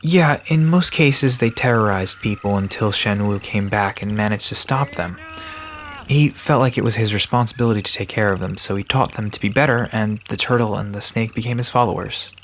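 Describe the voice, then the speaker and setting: Low-voiced, dry, guarded park caretaker, played by a man. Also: a little fast, fairly bright tone, slight background noise. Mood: dry, explanatory. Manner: dry, matter-of-fact, guarded. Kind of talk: audio drama dialogue, explaining mythology.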